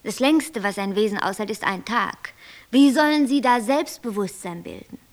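An actress playing a coolly logical, bossy creator deity who lectures and commands in a poetic radio play. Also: female voice, mid-range voice, animated, moderate pace, lightly acted. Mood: lecturing, dismissive. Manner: didactic, matter-of-fact, commanding.

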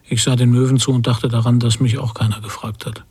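An actor playing a haunted middle-aged father, recalling his violent childhood in a brooding radio drama monologue with a gravelly, husky voice. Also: medium pitch, flat delivery, a little fast, a little mellow.

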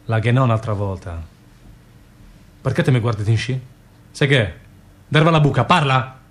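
A man's voice: mid-range voice, expressive, moderate pace, close to an everyday voice. A man playing an earthy, fervent meat seller in a radio play, declaiming with insistent repetition. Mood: blunt, colloquial. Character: earthy, fervent male meat vendor at a market. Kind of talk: theatrical radio play acting.

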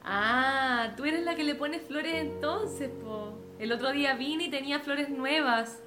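A woman's voice: high-pitched, measured pace, over music. An actress playing a friendly, modest working-class Chilean woman in a radio drama, casual and plain-spoken.

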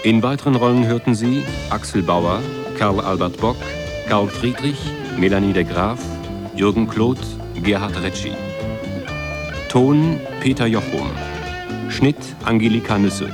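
Slow male announcer over loud guitar. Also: lowish voice. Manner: measured, formal. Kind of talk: scripted radio credits announcement. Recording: loud guitar music behind.

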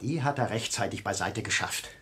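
A man's voice: lowish voice, expressive, brisk, fairly bright tone. An actor playing an agitated, stubborn married man in a radio crime drama, insistent and suspicious in his delivery.

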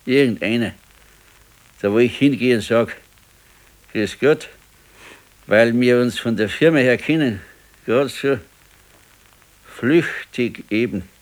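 Actor as slow-talking, brooding old Bavarian pensioner. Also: male voice, medium pitch, lightly acted, low-quality recording. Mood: pensive. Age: older. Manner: slow, ruminating, stubborn. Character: brooding, discontented old pensioner, former electrician, husband. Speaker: radio drama actor. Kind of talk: 1970s naturalistic dialect radio play.

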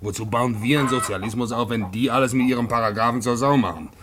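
An actor playing a middle-aged communist pub landlord in a radio drama, stubborn, blustering and argumentative. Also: male voice, medium pitch, fairly even intonation, fast, natural voice. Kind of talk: German radio play acting. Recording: noisy old radio drama recording.

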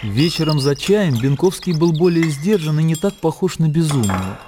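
A man narrating literary fiction for a radio drama in a measured, expressive storytelling manner. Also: a little high. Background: music.

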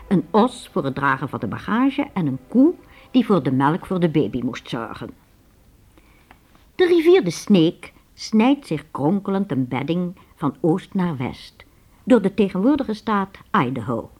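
A woman's voice: fairly low pitch, a little mellow, slightly rough. A woman narrating a radio drama in a dramatic storytelling style.

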